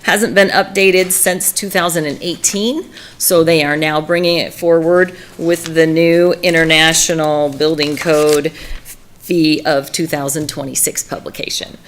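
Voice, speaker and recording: A woman giving a staff report to a city council in a fairly low voice, hoarse and smoky from allergies. She keeps clearing her throat and fills pauses with "um", presenting fee changes in a practical, matter-of-fact way. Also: fairly even intonation. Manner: frequent throat clearing and filler words. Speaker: municipal services director.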